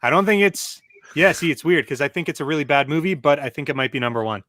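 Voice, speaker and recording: A man's voice: fairly high pitch, fast, a bit bright, dry recording, clean studio sound. A man co-hosting a casual panel discussion, talking conversationally. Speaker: podcast co-host. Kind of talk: casual chat.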